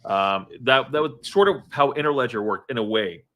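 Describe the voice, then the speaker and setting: A man's voice: medium pitch, moderate pace, pushed and bright. A man chatting casually from his home office, rambling with many fillers and restarts. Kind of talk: casual live-stream chat.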